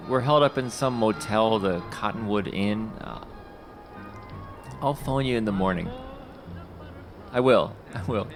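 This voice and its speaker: A man's voice: medium pitch, close to an everyday voice. An actor playing a desperate talent scout in an audio drama, a devoted family man who repeats himself when shaken. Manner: frantic pleading, repeating words.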